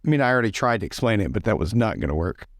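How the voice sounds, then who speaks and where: Male tabletop player, casual game talk, very animated pitch, some fry in the voice. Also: racing, dry recording, clean studio sound.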